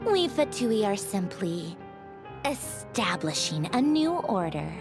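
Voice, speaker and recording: Game villainess: refined, pompous, menacingly polite; slow, very expressive delivery over clear background music. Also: female voice, medium pitch. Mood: veiled menace. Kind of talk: theatrical scripted game dialogue. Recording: music behind the voice.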